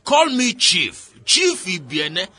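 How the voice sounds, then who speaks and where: A pompous, wildly swooping Nigerian chief. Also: male voice, sits high, huge pitch swings, slow pace, sharp tone, exaggerated voice. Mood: pompous, teasing. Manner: pompous, blustering. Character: self-important, evasive Nigerian male chief, blustering. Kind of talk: radio drama acting.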